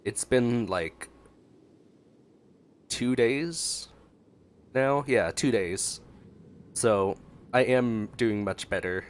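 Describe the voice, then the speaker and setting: A man's voice: medium pitch, extremely slow, pauses often, smooth. A man with a congested voice from a cold, giving casual, joking reaction commentary as he streams a game. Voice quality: stuffy, congested. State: getting over a cold.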